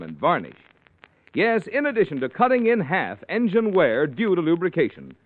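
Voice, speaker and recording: A man's voice: a little high, dry recording. A man working as a radio announcer, with polished, emphatic 1940s commercial delivery. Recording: tinny old radio recording.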